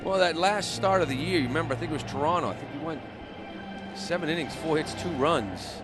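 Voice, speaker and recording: A man's voice: a little high, expressive, brisk, over music. A man giving conversational color commentary and analysis during a live baseball radio broadcast. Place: outdoor ballpark broadcast booth. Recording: loud stadium noise behind the voice.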